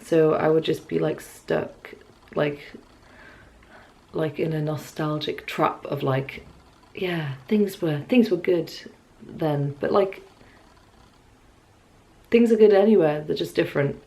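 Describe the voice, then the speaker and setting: A woman's voice: low-pitched, takes it slowly, creaky, mellow. A woman, chatting casually and hesitantly to camera in a wry, musing way. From Newcastle area, north-east England. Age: 20s. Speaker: vlogger. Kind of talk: casual, rambling chat to camera. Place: small furnished bedroom at home, indoors.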